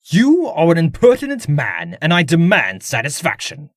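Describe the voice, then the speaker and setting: A man's voice: fairly high pitch, huge pitch swings, normal speed, dry recording, clean studio sound. An actor playing a haughty, wealthy nobleman in a comic dub, speaking in a formal and pompous manner. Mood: indignant.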